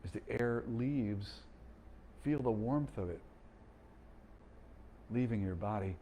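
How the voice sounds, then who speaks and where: A man guiding a slow breathing meditation, speaking calmly and gently with long pauses. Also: medium pitch, a little hiss or hum. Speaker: meditation guide.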